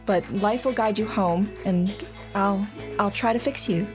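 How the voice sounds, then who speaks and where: A woman's voice: medium pitch, measured pace, close to an everyday voice. A woman playing a dreamy, adoring young newlywed wife in a romantic audio drama, tender and playful. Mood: tender, teasing. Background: music.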